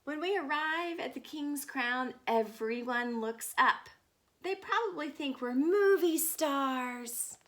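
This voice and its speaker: A woman's voice: sits high, expressive, slow, a bit bright, exaggerated voice. A woman voicing an enthusiastic little girl from a storybook who loves all things fancy, speaking dramatically and explaining grand words. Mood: excited.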